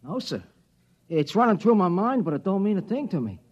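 An actor playing a plain-spoken, puzzled soldier with folksy speech in an old-time radio drama. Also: male voice, high-pitched, very animated, a little fast, darkish tone, breathy edge, exaggerated voice. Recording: dull vintage radio recording.

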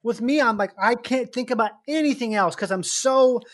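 A man, an actor, chatting in a relaxed way and musing through his questions. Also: very high voice, moderate pace. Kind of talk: casual chat.

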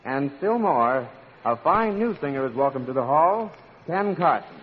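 A man's voice: fairly high pitch, expressive, moderate pace. A man working as master of ceremonies, speaking scripted lines on a 1940s radio variety show. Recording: dull, noisy old radio recording.

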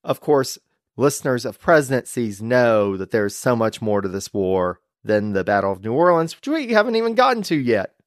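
An upbeat man hosts a casual history podcast, chuckling often as he talks. His voice sits at a middle pitch. The recording is dry and close. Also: sing-song, normal speed, dry recording. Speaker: podcast host.

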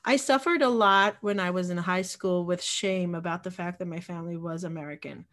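A woman's voice: lowish voice, a little fast, a bit bright. A woman in her forties who grew up in Israel, a podcast guest talking reflectively about her community and upbringing, often restarting her sentences. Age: 40s. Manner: reflective, with frequent restarts and fillers. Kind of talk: casual interview conversation.

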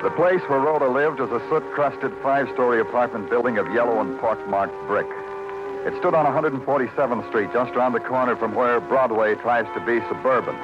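An American actor playing a world-weary police detective, brooding, poetic and clipped in 1950s radio noir style. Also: male voice, medium pitch, a little fast, lightly acted. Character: world-weary hardboiled police detective narrating his beat. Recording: muffled old radio recording with music.

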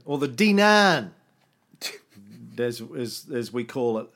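A man's voice: medium pitch, sing-song, takes it slowly, a bit bright. A man hosting a history podcast in casual chat, swearing freely and drifting off on tangents before he gets back to the story. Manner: profane, wanders off on tangents. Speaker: podcast host narrating history.

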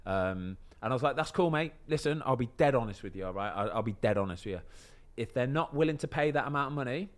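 A man's voice: mid-range voice, lively intonation, quick pace, hardly any pauses. A man, telling a story in casual podcast chat, often checking in with "do you know what I mean". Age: 30s. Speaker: podcast host and footballer.

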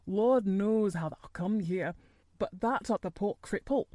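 A woman voicing an ignorant, tactless old working man in a hoarse, shaky voice for a storybook. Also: medium pitch, measured pace, dark tone, no room sound, natural voice. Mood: shaken, awed. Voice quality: hoarse, quavering.

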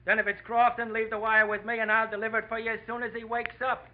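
A man's voice: high voice, monotone, fast talker, close to an everyday voice. An actor playing a pushy, slangy male hotel clerk in a 1940s radio drama, talking in an insistent, colloquial way. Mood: impatient, insistent. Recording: muffled old radio recording.

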